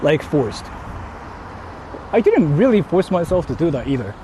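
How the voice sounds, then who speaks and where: A man with a fairly high, very lively voice chats casually to his livestream viewers. He rambles, questions himself and drops loose profanity. Clear city street and vehicle noise surrounds him as he talks. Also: moderate pace. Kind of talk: casual livestream chat, reading viewer comments. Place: outdoors on an urban street, walking. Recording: clearly noisy outdoor city street stream.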